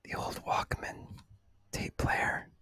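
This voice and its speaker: A man hosting ASMR, speaking to the listener in a hushed, breathy whisper and soft voice. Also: slow, no echo. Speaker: ASMR video host.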